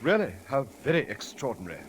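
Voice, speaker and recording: An actor playing a dignified, deferential English manservant with understated, formal speech in an old radio comedy. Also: male voice, mid-range voice, big pitch swings, fast, natural voice. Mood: polite, uneasy. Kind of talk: 1930s radio comedy acting. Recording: very noisy vintage radio broadcast.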